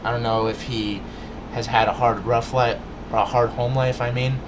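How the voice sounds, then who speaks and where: A man's voice: mid-range voice, little pitch movement, normal speed, background noise. A man ranting to his viewers in a casual, earnest way, with a stutter and many restarts and fillers. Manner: earnest, lecturing, addresses viewers directly. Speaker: vlogger. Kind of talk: casual rant to camera.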